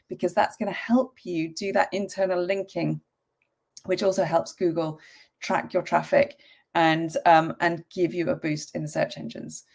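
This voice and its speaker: Woman with a cold, croaky and gravelly, lowish voice, chatty live stream with lots of ums. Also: a little mellow. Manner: chatty, with frequent ums and coughs. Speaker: website mentor hosting a live stream. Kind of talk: casual live-stream tutorial.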